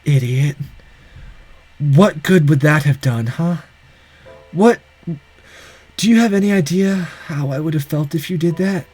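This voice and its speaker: A man's voice: fairly high pitch, measured pace, pauses often, darkish tone, breathy edge, faint music behind, poor audio. A man voicing a dying young man in a visual novel, weary and tender, with a self-deprecating streak. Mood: gentle scolding.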